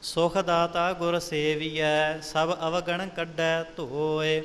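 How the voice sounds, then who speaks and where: Very slow, chanting man in a reverberant hall. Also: a little high, flat delivery, fairly bright tone. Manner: measured, chant-like. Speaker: scripture reciter. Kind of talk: slow melodic recitation of Sikh scripture. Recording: echoey hall with music underneath.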